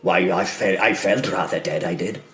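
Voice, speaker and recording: A man's voice: deep voice, normal speed, fairly bright tone, soft background music. A man voicing a young medieval knight in an audio drama, wry and understated, stammering politely. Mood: sheepish, dry.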